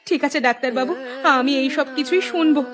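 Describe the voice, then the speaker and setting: Woman acting a high-voiced earnest village wife. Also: fast talker, over music, natural voice. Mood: anxious, pleading. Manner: earnest, insistent. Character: anxious, scolding village wife, pleading and morally firm. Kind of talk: cartoon dialogue acting.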